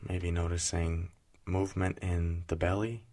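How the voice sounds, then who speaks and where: A man leading a guided mindfulness meditation in a gentle, unhurried, soothing way, leaving pauses between phrases. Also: low voice, flat delivery.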